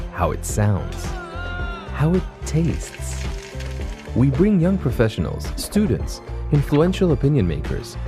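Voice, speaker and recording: A man doing scripted, inspirational narration for a promotional video. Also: medium pitch. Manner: inspirational, emphatic. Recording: narration over loud music.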